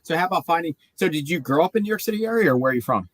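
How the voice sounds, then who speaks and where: A man's voice: on the high side, animated, racing. A man hosting a casual interview, asking curious questions and rephrasing them as he goes. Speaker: podcast host.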